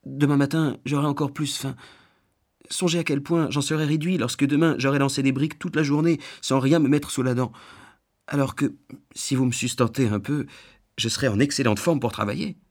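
A man's voice: medium pitch, steady tone, a little fast, pitched above the performer's own voice, natural voice. A man voicing a hungry, wily vagabond in a storybook reading, speaking politely with feigned humility. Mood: meek pleading. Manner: soft, polite, pleading. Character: hungry, wily, falsely humble male vagabond.

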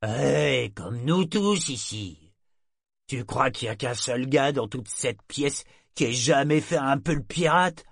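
Guy doing a sloshed old sailor, mumbly and slurry, kinda high and slow. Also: animated, creaky, slightly rough, no room sound, clean studio sound, heavily acted. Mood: boozy, rambling. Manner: slurred, mumbling drunken talk. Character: drunk old male sailor, gap-toothed, boastful.

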